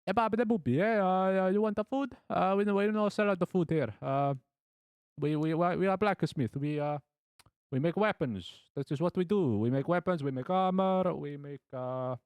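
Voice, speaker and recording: A man voicing an exaggerated, halting Italian blacksmith in an improvised tabletop roleplay, with a put-on Italian accent. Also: high voice, a little mellow, clear, resonant tone, dry recording, voice pushed up. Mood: hammy, eager. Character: hammy cheerful Italian blacksmith turning New York gangster.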